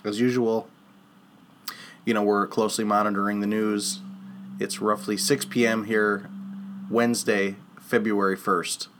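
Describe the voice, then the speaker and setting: A man's voice: fairly low pitch, flat delivery, measured pace, long pauses, background noise. A congested man co-hosting a casual political podcast, chatty and upbeat. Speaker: podcast co-host.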